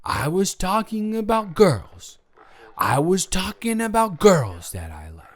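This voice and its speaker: A man playing a drunk young college roommate who rambles and stumbles sheepishly through a love confession, in slangy improvised audio drama. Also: a little high. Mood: drunk, teasing. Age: young adult. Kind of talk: improvised roleplay audio drama, one-sided conversation.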